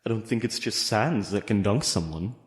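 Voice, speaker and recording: A man's voice: fairly low pitch, normal speed, natural voice. A man playing an earnest guest asker in a fan comic dub, coaxing and urging. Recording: echoey, reverberant room.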